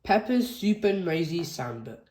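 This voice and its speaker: A woman reading a children's picture book aloud in a slow, expressive storytelling manner. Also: fairly low pitch, noisy background. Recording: echoey room.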